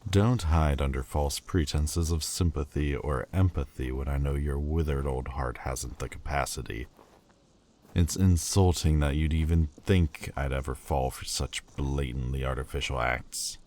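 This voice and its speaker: A man's voice: a bass voice, a little slow, darkish tone. A man voicing a proud, bitter demon lord who speaks in a cold, cutting, haughty way and hides a lovesick heart. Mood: mocking. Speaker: voice actor. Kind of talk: audio roleplay acting.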